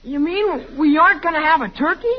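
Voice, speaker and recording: A woman's voice: sits high, a little fast, natural voice. A woman playing a blunt, skeptical American boy in an old radio comedy, with an earnest, questioning manner. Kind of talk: 1940s radio comedy acting. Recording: muffled, hissy old radio recording.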